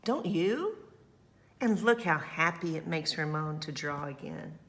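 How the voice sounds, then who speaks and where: A woman reading a picture book aloud as a school librarian, expressive and affectionate, with chatty, encouraging asides to young children. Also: deep voice, unhurried.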